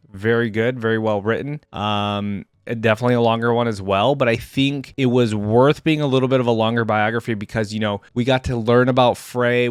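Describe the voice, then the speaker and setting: A man's voice: on the low side, clean tone, no echo. Casual man with a dry, close sound. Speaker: reaction video host. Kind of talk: reading a story aloud with casual reactions.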